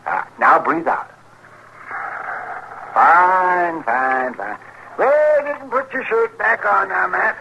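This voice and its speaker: A man's voice: high voice, slow, vocal fry, pauses often, exaggerated voice. A man playing a fussy, irascible, sardonic frontier doctor in a radio western, with a testy manner. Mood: brisk, fussy. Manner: testy, animated. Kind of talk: old-time radio western acting. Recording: noisy old radio recording.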